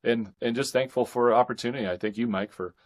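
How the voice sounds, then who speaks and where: A man's voice: medium pitch, quick pace. A man talking casually as an author guest in a podcast interview.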